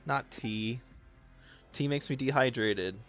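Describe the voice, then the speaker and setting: A man's voice: medium pitch, takes it slowly. A man giving casual, slangy commentary on a video game and reading its text aloud with joking asides. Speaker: game streamer. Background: faint game music.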